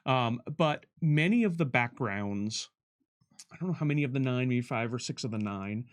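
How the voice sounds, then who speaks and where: A man working as a game developer, talking casually on a livestream panel and answering questions in an explanatory, halting way as he thinks aloud. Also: medium pitch, animated.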